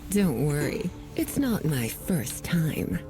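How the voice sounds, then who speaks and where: Game demoness, low sultry voice, very slow, seductive, cruel, teasing whispers, loud music underneath. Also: female voice, very animated, exaggerated voice. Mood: flirtatious, predatory. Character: seductive, sadistic female demon who relishes pain. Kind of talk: video game character voice lines. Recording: music playing behind the voice.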